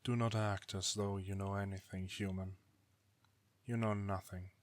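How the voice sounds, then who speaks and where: A man's voice: low-pitched, little pitch movement, no room sound. A man playing an ancient god in an audio drama, a bitter, weary brother who speaks formally and solemnly without remorse. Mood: weary, justifying. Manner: formal archaic diction, grave, deliberate. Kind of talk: dramatic audio roleplay acting.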